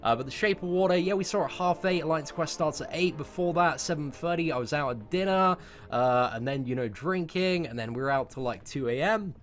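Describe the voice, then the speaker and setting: A man's voice: on the high side, fairly quick. A man in his twenties telling a personal story to viewers in a casual, rambling, sweary way, repeating words for emphasis. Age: 20s. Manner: informal, sweary, rambling, emphatic word repetition. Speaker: YouTube content creator.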